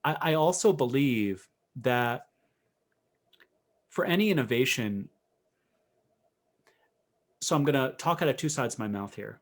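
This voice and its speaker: Reflective man, mid-pitched, in conversation. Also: expressive, unhurried, pauses often. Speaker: interview guest, professional coach. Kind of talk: conversational podcast interview.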